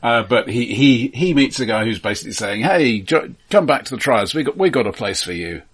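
A man casually recapping a film as a podcast co-host, halting and wry. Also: medium pitch, lively intonation, husky.

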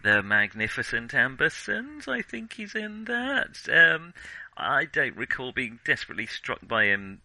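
A man's voice: mid-range voice, huge pitch swings, a little slow, bright, forceful tone, no room sound, rough recording. A man co-hosting a podcast, discussing films casually in a hesitant, discursive way that often corrects itself. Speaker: podcast co-host.

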